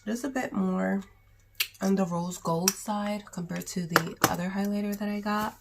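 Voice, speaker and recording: A young woman with a sore throat and cough, chatting casually in a raspy voice through a makeup haul vlog. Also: mid-range voice, steady tone, moderate pace, heavy background noise. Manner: chatty, rambling, with many ums and likes. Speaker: beauty vlogger.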